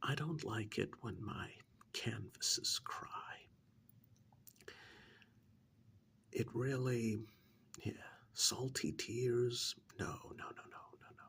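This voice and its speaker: A man playing a coldly patronizing serial killer in a soft-spoken ASMR role-play, breathy and half-whispered, with condescending, deliberate delivery. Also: mid-range voice. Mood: mock soothing. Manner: slow, deliberate, condescending, drawn-out pauses. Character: menacing male serial killer, coldly patronizing to his captive.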